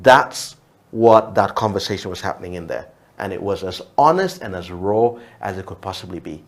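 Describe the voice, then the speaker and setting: A man's voice: sits low, animated, normal speed. A man from Uganda whose first language is Luo, speaking reflectively as a TV talk show guest, often restarting and repeating words.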